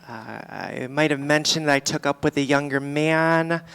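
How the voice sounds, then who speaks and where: A performer playing a boozy, world-weary middle-aged female comic, doing a rambling, deadpan stand-up monologue live on a theatre stage. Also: medium pitch, moderate pace, clear, resonant tone, in a large hall, lightly acted. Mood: confessional, swaggering. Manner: rambling, deadpan, theatrical, swinging between asides and outbursts.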